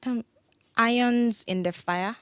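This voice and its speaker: An actress playing a polite young television interviewer in an audio drama, professional and courteous. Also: female voice, on the high side, slow, smooth, dry recording. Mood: hesitant. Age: young adult.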